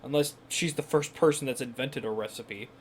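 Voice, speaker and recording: A man's voice: medium pitch, fairly quick, slight background noise. A man giving blunt, joking, casual commentary as host of a gameplay video.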